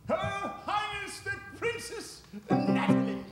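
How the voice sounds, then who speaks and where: Very high, pompous royal footman. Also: reverberant, loud music behind the voice. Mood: pompous, formal. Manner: pompous, ceremonious, drawn-out announcing. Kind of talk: theatrical radio play acting.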